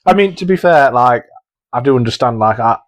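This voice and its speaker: Bloke with an average pitched voice, chatty podcast cohost giving casual verdicts. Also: male voice, expressive, brisk. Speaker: podcast co-host. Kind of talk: casual banter and judging.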